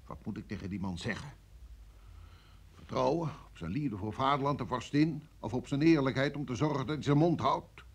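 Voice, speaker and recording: An actor playing a senior security chief in an old radio drama, brusque and formal, with a husky voice and an authoritative manner. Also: male voice, medium pitch. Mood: weary, bitter. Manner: authoritative, formal, measured phrasing. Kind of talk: vintage Dutch radio drama acting.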